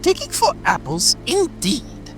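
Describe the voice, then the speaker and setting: A man's voice: very high-pitched, wildly swooping pitch, unhurried, full character voice. A man voicing an irritable, bossy rabbit master in a dramatic audiobook, snapping out angry orders. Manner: angry, imperious, exclamatory. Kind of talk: dramatic audiobook character acting. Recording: music and rain ambience behind. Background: music, rain.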